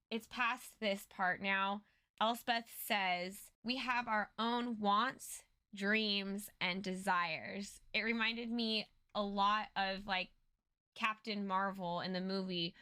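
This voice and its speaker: A woman's voice: medium pitch, bright, forceful tone, dry recording. A woman co-hosting a recap show, giving casual commentary in an earnest, explanatory way. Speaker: co-host of a story recap show.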